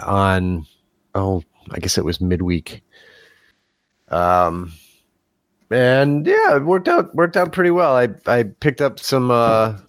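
A man's voice: lowish voice, no room sound, clean studio sound. A man chatting casually on a podcast while congested from a cold, rambling in a self-deprecating way. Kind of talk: casual chat.

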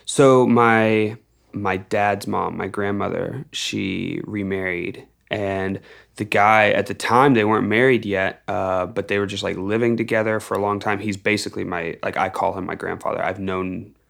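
Podcast guest: young American male musician, low-pitched with plenty of fry, informal rambling storyteller. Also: fairly even intonation, moderate pace, fairly bright tone. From Texas, United States. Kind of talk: casual storytelling in an interview.

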